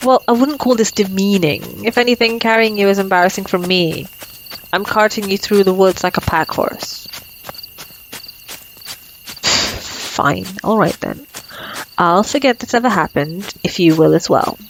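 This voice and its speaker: A woman's voice: mid-range voice, measured pace, long pauses, a little hiss or hum, lightly acted, low-quality recording. A woman playing a cocky, flirtatious female vampire in an ASMR roleplay, sardonic and playful. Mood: smug, bantering. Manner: sardonic, playful, casually swearing. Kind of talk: ASMR roleplay audio drama.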